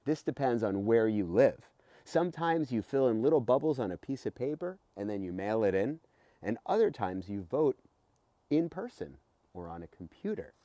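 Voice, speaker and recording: A middle-aged man, a teacher, reading a children's book aloud in an expressive, emphatic way with explanatory asides. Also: mid-range voice, normal speed, no room sound. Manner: expressive, emphatic, with rhetorical questions. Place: outdoors beside a house.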